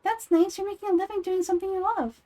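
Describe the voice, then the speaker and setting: A woman voicing a gentle, thoughtful young girl in fan-made fantasy game dialogue. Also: very high voice, fairly even intonation, fast. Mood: polite, friendly. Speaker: amateur voice actor.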